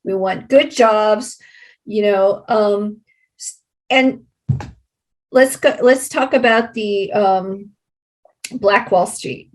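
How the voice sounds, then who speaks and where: A woman's voice: mid-range voice, very slow, long pauses. An American woman speaking as an interview guest and community advocate, explaining conversationally and repeating points for emphasis.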